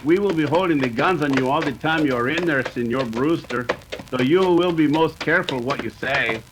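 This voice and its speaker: A gloating Mexican bandit played by a man in an old-time radio western. He has a Mexican accent and a slightly high voice, recorded with clear background noise. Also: moderate pace, close to an everyday voice. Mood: menacing. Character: gloating male Mexican outlaw henchman. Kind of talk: 1930s western radio acting.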